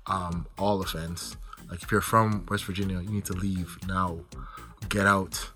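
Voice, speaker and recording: A man's voice: deep voice, flat delivery, unhurried, pushed and bright, faint music behind. A man, an author, answering interview questions in a casual, blunt way, often saying "like" and "right?" and swearing freely. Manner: conversational, frequent "like" and "right?", blunt and profane. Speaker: author guest.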